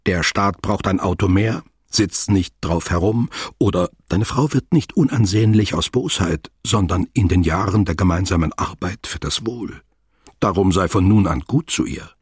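Sober literary radio-play narrator, low register, heavily husky voice, formal and deliberate. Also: male voice, no room sound, studio quality. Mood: sober, measured. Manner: measured, formal, wordy.

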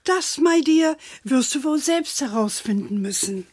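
A woman playing a fond older grandmother who tells stories at an unhurried pace in a children's radio play. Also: sits high, animated, a little mellow, no echo. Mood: musing. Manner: unhurried, fond, sprinkles English endearments.

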